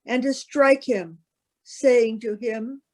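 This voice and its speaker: A woman narrating a solemn Bible reading as a scripted piece, with measured, careful phrasing. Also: on the high side, darkish tone.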